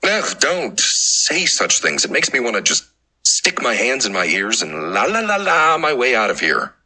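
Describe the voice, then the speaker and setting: A woman playing a prim, exasperated zombie who scolds in a deadpan way in an audiobook drama. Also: rumbling low, normal speed, slightly rough, full character voice, low-quality recording. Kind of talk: audiobook drama narration and dialogue.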